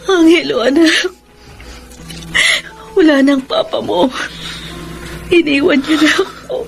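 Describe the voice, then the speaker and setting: A heartbroken Filipina wife and mother in a radio drama, her voice very high and tearful. She speaks with a Filipino accent, pleading and tender, over a clear bed of music. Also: slow pace, full character voice. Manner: emotional, pleading, code-switching speech. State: crying.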